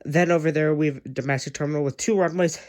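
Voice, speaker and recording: A man's voice: fairly high pitch, steady tone, quick pace, darkish tone. A young man with a congested, stuffy voice, narrating a casual video tour of his model airport, with frequent ums and uhs.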